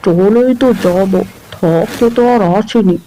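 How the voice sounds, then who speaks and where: A woman's voice: mid-range voice, a little slow, warm tone, natural voice. A woman voicing a lecherous, cowardly male villain in a horror cartoon, wheedling and pleading. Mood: lecherous coaxing. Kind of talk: Bengali horror cartoon voice acting. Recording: noisy background ambience.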